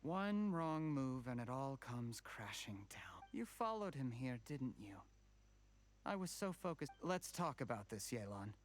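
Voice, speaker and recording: An actor voicing a scheming young male official in a video game, coldly polite, smooth and calculating. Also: mid-range voice, big pitch swings, a little slow. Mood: guarded.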